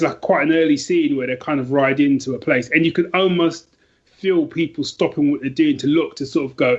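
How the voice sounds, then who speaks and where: A man's voice: on the high side, husky, muffled recording. A man talking casually and enthusiastically about books as a podcast guest, emphatic and often restarting his sentences. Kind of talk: casual book chat.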